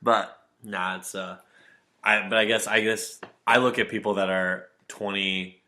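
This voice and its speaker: Lower-voiced man in his twenties. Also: steady tone, a little slow, sharp tone. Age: 20s. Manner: teasing, swears casually, laughs while talking. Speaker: podcast co-host. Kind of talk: casual chat.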